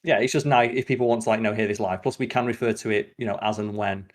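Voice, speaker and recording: A man's voice: lowish voice, steady tone, fast talker. A man hosting a casual podcast chat, opinionated and sweary. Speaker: podcast host.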